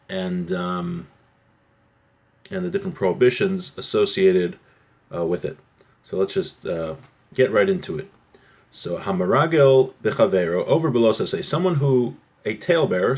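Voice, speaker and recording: A man teaching an informal religious class, reading out phrases from a text and explaining them step by step, often rephrasing and posing questions that he answers himself. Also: on the low side. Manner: explanatory, repeats and rephrases points, asks and answers own questions.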